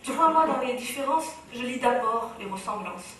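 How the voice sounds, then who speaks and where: A woman's voice: a little high, animated, moderate pace, a bit bright, a little hiss or hum. Moroccan woman reciting slam in a hall. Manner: rhythmic, declamatory, impassioned. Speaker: speaker. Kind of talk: spoken-word slam recital. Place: large hall on stage. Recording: very echoey hall, from far off.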